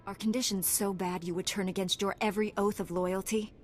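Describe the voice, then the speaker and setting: Solemn, noble female knight, acted, mid-pitched. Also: steady tone, a little fast, soft background music, lightly acted. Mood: grave, probing. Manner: formal, solemn. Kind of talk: video game voice acting.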